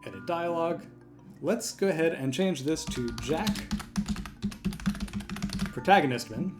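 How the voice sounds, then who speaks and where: A man hosting a software tutorial, talking through each step in an informal, explanatory way. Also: on the high side, lively intonation, measured pace, music in the background. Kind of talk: step-by-step screen tutorial narration, conversational. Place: voice-over for a screen recording.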